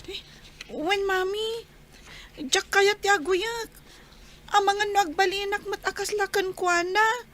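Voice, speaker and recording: A frightened small child in an Ilocano audio drama. The voice is extremely high and slow, with a timid, whining manner. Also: female voice, fairly bright tone, full character voice.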